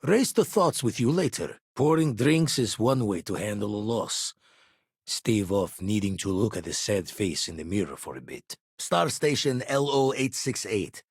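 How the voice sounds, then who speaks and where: An actor playing an older retired space pirate turned astronomer in a video game, wry and reflective, with clipped, folksy phrasing and a husky voice. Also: male voice, lowish voice, lively intonation, normal speed, no echo, clean studio sound. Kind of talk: video game character voice acting.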